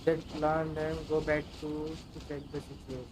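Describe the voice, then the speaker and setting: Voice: mid-range voice, flat delivery, moderate pace, very noisy. A livestreamer, chatting casually with viewers during a game stream with music behind. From India.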